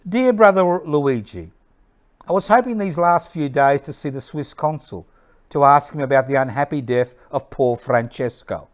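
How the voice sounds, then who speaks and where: A man playing a guarded, grieving internee reading a letter in a stage play, measured and formal. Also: on the high side, natural voice. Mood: guarded sorrow.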